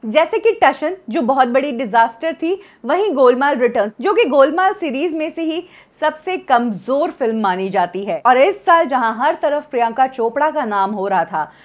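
Woman in her 30s, gossip presenter, very animated pitch, slightly high voice, a bit dull lo-fi sound. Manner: lively storytelling delivery, mixing in English phrases. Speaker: entertainment news presenter. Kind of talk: scripted celebrity gossip presentation.

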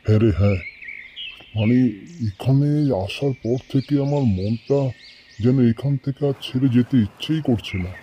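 A man's voice: medium pitch, normal speed, dark tone, highs cut off, a little hiss or hum, natural voice. A man playing a young traveller in a cartoon story, a casual, teasing friend. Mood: admiring.

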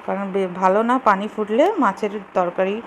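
A woman's voice: medium pitch, fairly even intonation, a little fast. A woman giving casual, step-by-step cooking instructions in simple words, as host of a home recipe video. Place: home kitchen. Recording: clearly noisy home kitchen recording.

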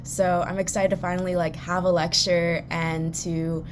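A young woman from California speaks in a relaxed way on a video call, often saying "like". Faint noise sits behind her voice. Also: fairly low pitch, moderate pace. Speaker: orientation leader. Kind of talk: casual panel talk.

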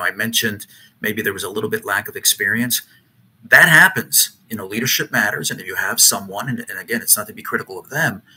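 A man's voice: lowish voice, sharp tone, slight background noise, low-quality recording. A man in his sixties, a retired police chief and consultant, talking earnestly from a room at home over a video call. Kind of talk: casual interview talk. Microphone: video call, webcam.

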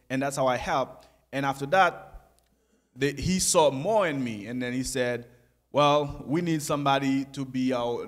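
A young man telling his life story in a church sanctuary, speaking softly into a handheld mic with pauses and easy laughs. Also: mid-range voice. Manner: soft-spoken, halting, laughs easily. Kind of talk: conversational testimony.

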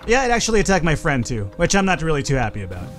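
A man's voice: a little high, very animated, very fast, a bit bright, faint music behind. A young man giving casual, rambling, self-deprecating commentary over a game he is playing. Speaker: gaming channel host.

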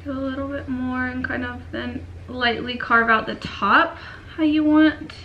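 A young woman in her twenties with a cold, chatting casually through a makeup tutorial in a dim bedroom, often trailing off into quiet murmurs. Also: sits high, steady tone, unhurried, highs cut off, a little hiss or hum. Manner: chatty, filler-heavy, drifts into quiet murmurs while working. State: sick and sniffly. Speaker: beauty vlogger.

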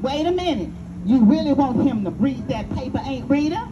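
A woman's voice: high-pitched, expressive, normal speed. A woman playing an anxious enslaved Southern woman in a live dramatic reading, doubtful and repeating herself in folksy dialect. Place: outdoor stage in open air. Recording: muffled, very noisy outdoor audience recording.

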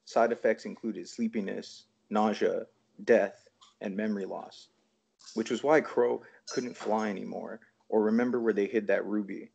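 Creaky, low-voiced man reading poems. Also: steady tone, normal speed, darkish tone. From Toronto, Canada. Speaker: poet reading own work. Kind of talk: poetry reading. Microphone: video call. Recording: dull lo-fi video call audio.

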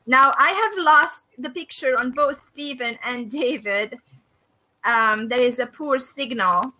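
A middle-aged woman from Hungary with a Hungarian accent, hosting a faith and business video call and speaking thoughtfully with many pauses and fillers. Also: high-pitched, pushed and bright, poor audio. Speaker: host of a faith and business discussion. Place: small room indoors. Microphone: webcam on a video call.

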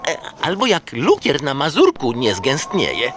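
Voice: medium pitch, huge pitch swings, a little slow, noisy background. An actor voicing a timid, naive, giggly young boy elf in a children's audio drama.

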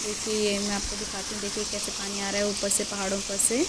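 A woman's voice: medium pitch, monotone. A woman vlogging as she walks a mountain trail, cheerfully pointing out the sights to her viewers. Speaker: travel vlogger. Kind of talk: walking travel vlog commentary. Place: outdoors on a mountain trail near a waterfall. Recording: outdoor phone audio with water noise. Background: rushing water.

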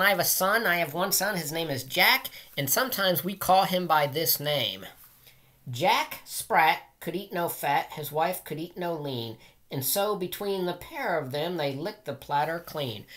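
A man's voice: fairly high pitch, fairly bright tone. Middle-aged man reading aloud to children. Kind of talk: casual read-aloud of nursery rhymes for children. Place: small room at home, indoors.

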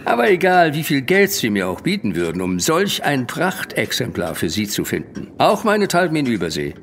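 A theatrical antiques dealer in an audio drama. His mid-pitched voice swoops up and down as he flatters and persuades with effusive patter. A faint ambience sits behind him. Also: normal speed, slight background noise. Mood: proud, persuasive. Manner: effusive salesman's patter with chuckles. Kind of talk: German horror audio drama acting.

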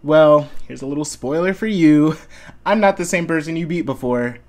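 A man's voice: a little high, normal speed, a little mellow, close to an everyday voice. A man playing a cocky, determined young hero with a confident, dramatic delivery. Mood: confident, taunting.

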